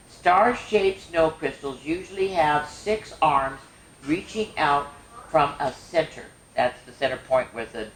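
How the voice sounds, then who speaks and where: A woman reading a children's science book aloud as a storyteller, explaining to kids with expressive wonder and chatty asides. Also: deep voice. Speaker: storyteller and show host. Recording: echoey room with faint noise.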